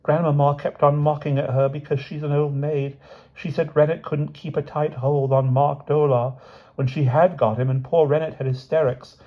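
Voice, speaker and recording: A man's voice: a little high, level tone, moderate pace, pitched above the performer's own voice, natural voice. A man voicing a sleepy, sweet young country girl. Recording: dull lo-fi recording.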